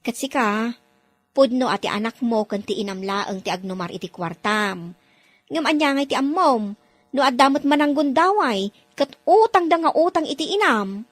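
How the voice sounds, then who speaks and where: An earnest young maid working abroad in an audio drama, voiced by a woman. Her high voice moves lively up and down, and she sounds close and dry. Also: moderate pace, no room sound. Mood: advising. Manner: earnest, pleading. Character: young Ilocana domestic worker abroad, earnest. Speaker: overseas worker in a drama.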